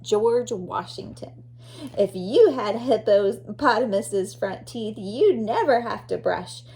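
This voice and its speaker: A woman's voice: a little high, darkish tone. A woman teacher reading a children's nonfiction book aloud expressively, addressing young listeners.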